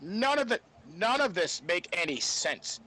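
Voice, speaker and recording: A man with a high voice talks casually on a sports podcast panel. His delivery is halting, and he often repeats his words. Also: sing-song, sharp tone, low-quality recording.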